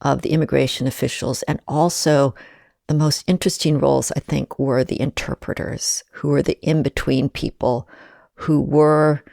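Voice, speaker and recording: A woman speaking as an interview guest, reflective and measured, talking with warm enthusiasm about shared projects. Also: very deep voice, gravelly, no echo, studio quality.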